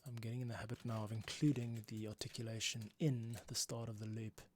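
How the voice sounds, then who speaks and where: A man composing on a livestream, muttering casual, halting commentary to himself as he works. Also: on the low side, level tone, darkish tone, a little hiss or hum. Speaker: music composer livestreaming his work. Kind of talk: casual thinking-aloud work commentary. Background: instrumental music playback.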